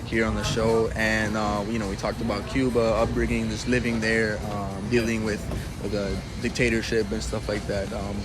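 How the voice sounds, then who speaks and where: A man's voice: lowish voice, level tone, moderate pace, hardly any pauses, over music. A male podcast host interviewing a guest in an easygoing way, with short agreeing replies. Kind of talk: casual interview.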